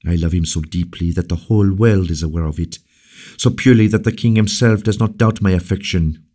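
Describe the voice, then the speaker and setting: A man's voice: low-pitched, brisk, dark tone, voice pushed up. A man reading a novel aloud, voicing a young noble lady at court who pleads with fervent, principled conviction in a raised voice. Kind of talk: audiobook character reading.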